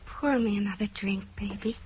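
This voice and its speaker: An actress playing a seductive, eager younger mistress in a mid-century radio drama, sultry and coaxing. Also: female voice, mid-range voice, normal speed, a little mellow, a little hiss or hum, close to an everyday voice. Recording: dull old radio recording.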